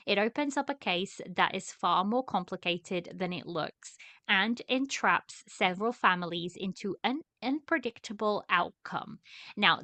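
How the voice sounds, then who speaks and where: Woman podcast host, bright voice, animated casual chatter, dry close sound. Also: mid-range voice, no room sound. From Portugal. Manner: chatty, animated, many fillers.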